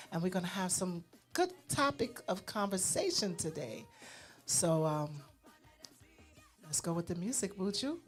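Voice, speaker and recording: Chatty female radio co-host, music behind. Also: mid-range voice. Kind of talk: casual show chat.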